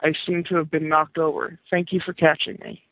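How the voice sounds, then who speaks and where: A man reads the part of a flustered young single mother in a romance story. She is hesitant and nervous, soft-spoken and prone to stammering. The sound is thin, like a phone line. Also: on the high side, flat delivery, brisk, dry recording, close to an everyday voice.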